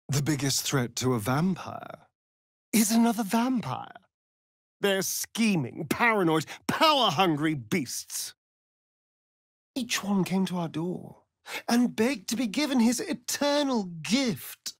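A man's voice: high-pitched, very animated, slow, no echo, exaggerated voice. An actor playing a vain, sly male vampire rogue in a video game, with a British accent and an arch, melodramatic, catty delivery. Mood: animated. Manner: arch, drawling, melodramatic, dry wit. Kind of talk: dramatic video game acting.

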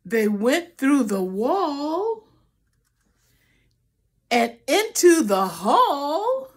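A woman's voice: high voice, sing-song, extremely slow. An older woman reading a picture book aloud to children, theatrically drawing out phrases and adding asides to the listeners. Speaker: storybook reader. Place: small room at home, indoors.

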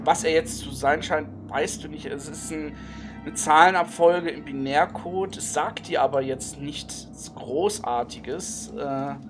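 A game master narrating a tabletop horror role-playing session, haltingly, searching for words. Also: mid-range voice. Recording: music behind the voice.

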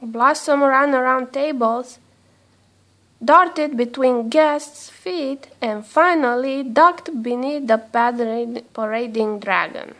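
Female storybook reader, high voice, slow careful delivery, expressive telling for young children. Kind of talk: read-aloud children's storytelling.

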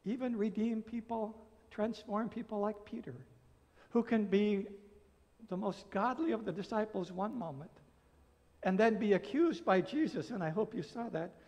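An American man in his sixties preaching a sermon as a pastor, earnest and emphatic, phrasing deliberately with pauses. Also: high voice, a little mellow. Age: 60s. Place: church sanctuary, at a pulpit. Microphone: gooseneck pulpit microphone. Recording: reverberant church hall.

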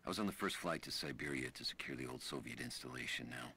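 A man playing a devoted soldier in a video game, reflecting in a gravelly voice as he recites his private journal. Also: a bass voice, fast talker. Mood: quietly devoted. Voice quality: gravelly, creaky. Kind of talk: scripted game voice acting, reflective monologue. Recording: clear background noise.

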